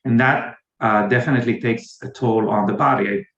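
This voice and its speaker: A man's voice: mid-range voice, steady tone, moderate pace, fairly bright tone, reverberant, dull audio. A man with an Israeli accent, answering interview questions hesitantly with many fillers and restarts. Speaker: guest, company founder.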